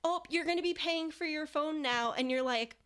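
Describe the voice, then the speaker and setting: Chatty young woman with a bright pitch. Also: moderate pace. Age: 20s. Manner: chatty, filler-heavy, animated retelling. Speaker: guest musician. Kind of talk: casual interview chat.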